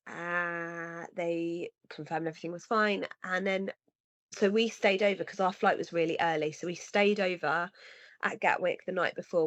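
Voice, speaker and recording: A woman in her thirties with a cold, sounding slightly nasal and husky, chatting earnestly as a podcast co-host. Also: on the low side, no room sound. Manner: earnest, rambling with restarts. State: has a cold, sniffly. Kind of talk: casual conversation. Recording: dry, slightly dull lo-fi sound.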